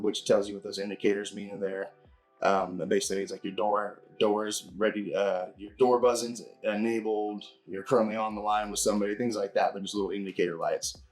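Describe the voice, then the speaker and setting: Low-voiced man giving a product demo. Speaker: product reviewer. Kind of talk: casual hands-on product demonstration.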